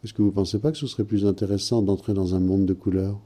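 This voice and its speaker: Very dark-voiced male host. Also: sits low, quick pace. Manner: calm, probing questions. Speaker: host interpreting dreams. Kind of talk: counselling talk.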